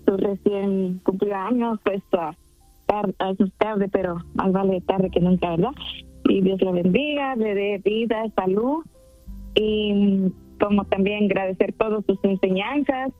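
Mujer que llama a la radio, habla entrecortada y cariñosa, sonido de teléfono. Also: female voice, medium pitch. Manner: halting, warm greetings and prayer requests. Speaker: radio caller. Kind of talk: phone call to a radio show. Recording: tinny phone line.

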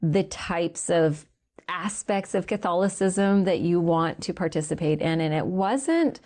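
A woman in her thirties from Canada, a guest giving an earnest faith testimony and slowing down to stress the words that matter to her. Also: medium pitch, warm tone.

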